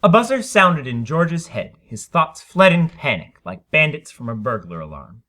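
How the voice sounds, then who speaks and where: A man narrates a short story in a dramatic reading. His voice is bright and forceful, with lively, swooping pitch that keeps the scripted prose animated. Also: normal speed. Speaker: story reader.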